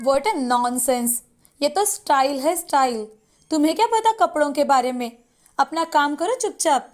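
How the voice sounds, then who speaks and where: A woman's voice: high-pitched, animated, unhurried. A woman voicing a snobbish, rude young daughter-in-law with a contemptuous, affected manner in a cartoon. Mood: indignant, contemptuous. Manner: contemptuous, affected English phrases. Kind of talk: cartoon voice acting in a moral story.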